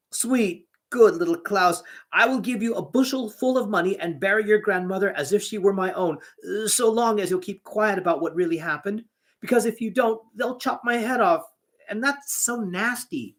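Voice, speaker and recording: A man voicing a rich, hot-tempered male innkeeper who talks loudly and pleads in panic. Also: mid-range voice, animated, fairly quick, hardly any pauses, close to an everyday voice. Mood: panicked. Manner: loud, frantic, pleading.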